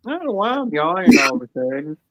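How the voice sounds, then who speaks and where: A man chatting casually, telling stories haltingly with many pauses and ums. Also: high-pitched, big pitch swings, a loud crowd. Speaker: panel guest. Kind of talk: casual chat. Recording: dull lo-fi line.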